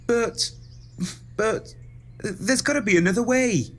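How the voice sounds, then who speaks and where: An actor playing a naive, soft-hearted young farm lad in an audio pantomime, earnest, guileless and affectionate. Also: male voice, high voice, takes it slowly, exaggerated voice. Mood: pleading.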